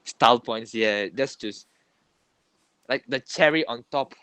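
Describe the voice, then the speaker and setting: A man's voice: mid-range voice, measured pace, fairly bright tone, clear, resonant tone, no room sound. A young man with a Malaysian English accent, chatting casually as a podcast co-host. He rambles, repeats himself and tacks on "lah". The sound is dry and close, with no room around it. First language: Tamil. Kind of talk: casual chat.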